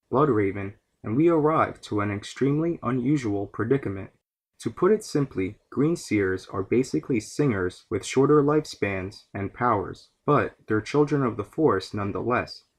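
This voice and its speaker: Slightly husky male podcast narrator. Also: mid-range voice. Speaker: podcast host. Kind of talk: scripted explanatory narration on fantasy lore.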